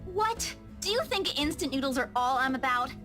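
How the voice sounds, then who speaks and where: A caring, playfully proud young woman in a game story. Her voice is high and chirpy, swinging in pitch, over faint music. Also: lively intonation, normal speed. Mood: playful, defensive. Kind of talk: visual novel voice acting.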